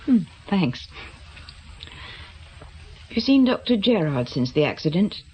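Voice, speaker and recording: A man's voice: sits high, very animated, unhurried, dull audio, slight background noise. A British man acting in a radio drama as a concerned, inquisitive visitor with short, direct questions. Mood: concerned, curious. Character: concerned, questioning close visitor of an injured investigator.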